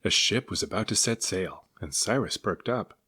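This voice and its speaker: Low-voiced, very animated male storybook reader. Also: normal speed, slightly rough. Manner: expressive. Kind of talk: read-aloud children's story narration.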